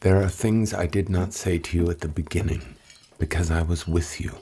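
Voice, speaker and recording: An actor playing Jesus as a calm, solemn teacher who speaks gravely, at times in a whisper. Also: male voice, sits low, normal speed. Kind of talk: audio drama acting. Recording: music score behind.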